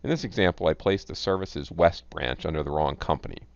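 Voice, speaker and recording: A man giving scripted, instructional narration as a software tutorial presenter, reading in a measured, enunciated way. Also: very deep voice, vocal fry, no room sound. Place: close, dry voice-over recording. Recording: dull, lo-fi close voice-over.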